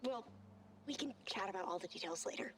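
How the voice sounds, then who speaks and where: An actress playing an eager, sociable young expedition member in a video game, with a friendly, animated delivery. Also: female voice, mid-range voice, a little fast, natural voice. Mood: excited, friendly. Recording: crowd noise behind the voice.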